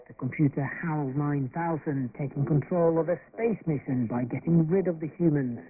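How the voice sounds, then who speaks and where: A man's voice: on the high side, normal speed. A man working as a radio reporter, presenting a feature report. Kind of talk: scripted radio report narration. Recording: tinny, hissy shortwave radio broadcast.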